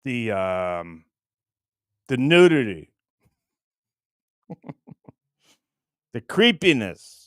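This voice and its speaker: A man hosting a casual livestream, rambling and halting as he chats with viewers in a crude, jokey, profane way. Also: mid-range voice, sing-song, no echo, studio quality. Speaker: livestream host.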